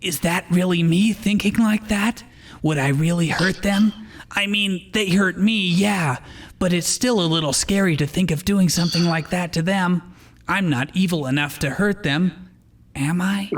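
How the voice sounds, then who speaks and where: A man's voice: sits high, normal speed, voice pushed up. A man voicing a brooding, bitter adult monster trainer in a dramatic fan fiction reading, anguished and self-questioning. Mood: troubled, bitter.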